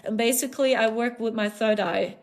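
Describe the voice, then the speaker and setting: A woman's voice: a little high, fairly quick, faint music behind. A woman with a German accent hosting a live stream as a spiritual channeler, talking in an earnest, repetitive, soothing way with frequent little laughs. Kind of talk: casual live-stream talk.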